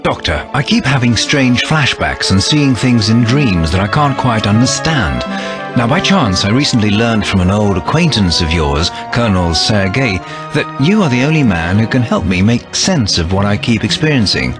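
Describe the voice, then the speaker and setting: Low-voiced, earnest secret agent. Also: male voice, very animated, moderate pace, music in the background. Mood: troubled. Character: earnest, troubled male military secret agent. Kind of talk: video game cutscene acting.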